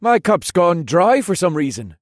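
A man's voice: high voice, big pitch swings, measured pace, dry recording, clean studio sound, pitched above the performer's own voice, heavily acted. A man voicing eager, loyal young soldiers in a fantasy audiobook, with a hearty, earnest and emphatic delivery. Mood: cheerful, eager.

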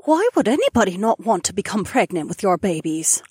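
A woman playing an adoring, naive alien lover in an audiobook, with an emphatic, breathy delivery. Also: medium pitch, very animated, brisk, darkish tone, no room sound, clean studio sound. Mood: puzzled. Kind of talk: audiobook character dialogue.

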